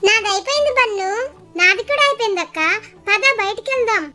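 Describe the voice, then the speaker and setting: A woman voicing playful, bickering young children in a cartoon story, excitable, teasing and singsong. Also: extremely high pitch, a little fast, soft background music. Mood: eager, playful. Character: squabbling young children, playful brother and sister.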